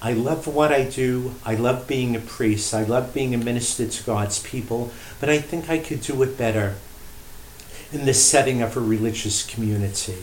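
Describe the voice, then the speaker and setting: A man with a medium-pitched voice speaks as a priest being interviewed. He tells history in a measured, emphatic storyteller's way, pausing for effect. The room adds clear echo, with faint noise behind him. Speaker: priest and shrine director interviewed as guest. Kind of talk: unscripted storytelling in a radio interview. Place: indoor room at a shrine.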